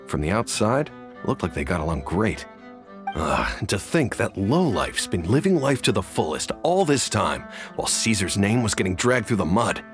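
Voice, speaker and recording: A man voicing an earnest, loyal townsman in a video game, emphatic and heartfelt. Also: low voice. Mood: indignant. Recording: game music behind the voice.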